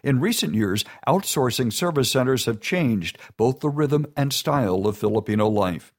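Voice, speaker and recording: A man giving a steady, informative scripted narration. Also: medium pitch, normal speed.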